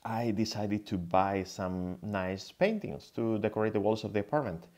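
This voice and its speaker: Low-voiced Spanish-accented male lecturer. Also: moderate pace, darkish tone. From Spain. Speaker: guest lecturer, tech founder. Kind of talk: slide presentation lecture.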